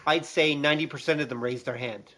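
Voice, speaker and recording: A man's voice: on the high side, steady tone, fast, sharp tone. A middle-aged man talking casually as a co-host in a podcast discussion. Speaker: podcast co-host, college teacher.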